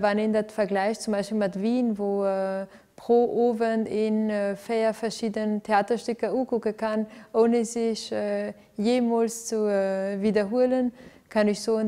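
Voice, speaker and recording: A woman's voice: medium pitch, little pitch movement, measured pace, dark tone. A woman, answering interview questions in a living room with many fillers and restarts. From Venezuela. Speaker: interviewee, arts director. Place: living room with lights set up for filming.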